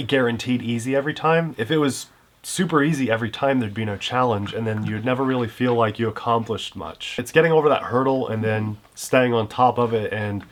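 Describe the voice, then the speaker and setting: A man with a head cold, sounding congested, co-hosting a casual chat about his hobby in a relaxed, encouraging way. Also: medium pitch, brisk, a little hiss or hum. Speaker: co-host of an RC hobby channel.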